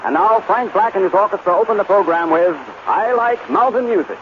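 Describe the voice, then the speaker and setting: A muffled old radio recording with faint noise features an American man with a high voice. He speaks as a 1930s announcer, introducing the show and delivering a scripted sponsor pitch. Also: fairly quick.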